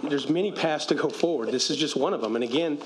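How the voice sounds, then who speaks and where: A man's voice: on the high side, slight background noise, rough recording. A middle-aged man speaking firmly at a council meeting, explaining and defending an agreement. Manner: firm, explanatory, quick-talking. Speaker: county official at a council meeting. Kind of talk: council debate. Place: council chamber. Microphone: desk microphone.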